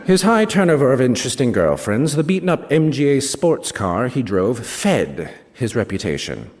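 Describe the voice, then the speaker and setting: An actor playing a wry male British novelist, reading a first-person literary story in an expressive, savouring way with small asides. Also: medium pitch, moderate pace. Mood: fond, wry. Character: wry male British novelist recounting a rivalry with his friend. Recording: reverberant hall, stage reading.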